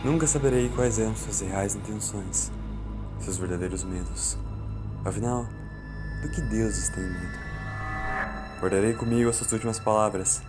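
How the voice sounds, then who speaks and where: A man playing a reflective, fearful man of science, narrating an audiobook in the first person in a solemn, deliberate, brooding way. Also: on the low side, lightly acted. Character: Doctor Carlos, fearful, reflective man of science recounting events. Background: music.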